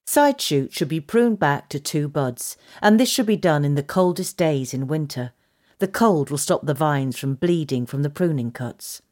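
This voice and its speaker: Woman reading a gardening piece, low voice, lots of up and down. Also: darkish tone. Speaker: magazine article reader. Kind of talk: scripted gardening narration.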